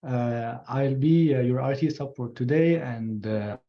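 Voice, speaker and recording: A man doing IT support, introducing himself slowly and hesitantly over a video call. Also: mid-range voice. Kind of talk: brief introduction. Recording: dull lo-fi video call audio.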